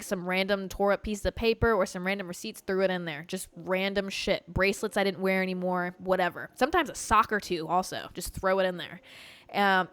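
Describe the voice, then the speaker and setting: A woman in her twenties hosting a podcast, telling a casual story in a candid, loose, profane way with lots of "like" fillers. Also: fairly low pitch. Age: 20s. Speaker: podcast host.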